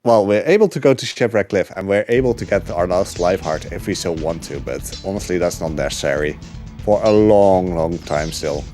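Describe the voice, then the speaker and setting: A man's voice: deep voice, moderate pace, mellow. A young man chatting casually while speedrunning a game, full of fillers and small laughs. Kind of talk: casual commentary while gaming. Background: game music.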